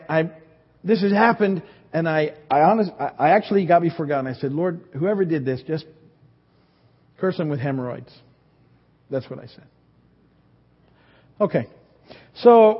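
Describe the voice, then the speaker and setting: Lively fifty-something man preaching. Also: on the high side. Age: 50s. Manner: lively storytelling, self-deprecating humor. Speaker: pastor. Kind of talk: sermon. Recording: dull sound in a reverberant hall.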